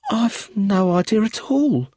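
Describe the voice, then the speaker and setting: A man voicing a nervous, frightened young widow in an audiobook, pitched high and strained. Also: sing-song, quick pace, darkish tone, no echo, clean studio sound.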